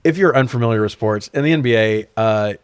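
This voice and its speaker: A man's voice: medium pitch, fast, clean tone, dry recording, clean studio sound. A man co-hosting a podcast, chatting casually and bluntly about films with plenty of swearing. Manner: profane, blunt. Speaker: podcast co-host. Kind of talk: casual film review chat.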